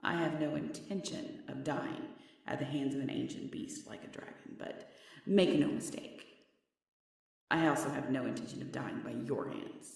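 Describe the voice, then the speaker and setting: A cruel half-demoness, half-witch villainess voiced by a woman taunts her foe with imperious, theatrical menace. Her voice has a creaky edge and rings with heavy echo, slightly away from the mic, in the manner of a fantasy ASMR roleplay. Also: very deep voice, darkish tone. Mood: scornful. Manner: mocking, imperious, theatrical menace with slow drawn-out pauses. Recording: very echoey, slightly distant.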